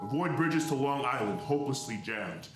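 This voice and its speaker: A man's voice: medium pitch, expressive, moderate pace, over music, natural voice. A man playing a grave, increasingly desperate 1930s radio announcer in a live radio drama, with clipped, dramatic bulletin delivery. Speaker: actor. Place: live stage performance in a hall, music behind. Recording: very echoey live theatre recording.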